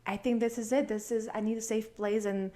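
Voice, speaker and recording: A woman in her thirties from Serbia, a guest sharing her life story in a podcast chat, earnest and halting, with many ums and restarts. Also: fairly high pitch, fairly even intonation, dark tone. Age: 30s. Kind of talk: personal testimony in casual chat.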